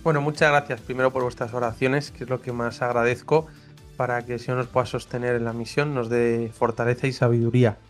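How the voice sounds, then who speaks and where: A man's voice: mid-range voice, level tone, a little fast, faint music behind. A man in his thirties from Spain with a Castilian accent, a priest telling his faith story with earnest fervor. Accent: Castilian Spanish. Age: 30s. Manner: earnest, fervent storytelling, acts out his own past doubts. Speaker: priest, podcast guest. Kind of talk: personal testimony in conversation.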